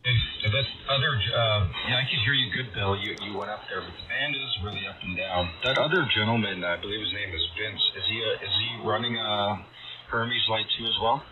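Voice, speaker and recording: A man chatting casually as a ham radio operator, friendly and technical, with a halting delivery. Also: fairly low pitch. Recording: dull radio signal under loud static.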